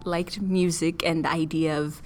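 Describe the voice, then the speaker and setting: A young woman student from Ethiopia, talking about her studies and experiences thoughtfully, with fillers and pauses. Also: sits low, fairly even intonation, faint music behind. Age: young adult. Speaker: student interviewee. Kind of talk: casual interview.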